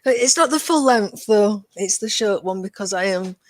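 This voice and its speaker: A woman's voice: medium pitch, animated, moderate pace, no room sound, studio quality. A woman chatting cheerfully and casually as a podcast panelist.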